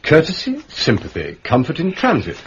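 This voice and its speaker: Breathy, urbane gentleman sleuth. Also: a little high, wildly swooping pitch, normal speed, highs cut off, full character voice. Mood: reading drily. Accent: upper-class English. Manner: understated, polite, quizzical. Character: urbane, mild, wry English gentleman detective. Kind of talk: radio drama acting.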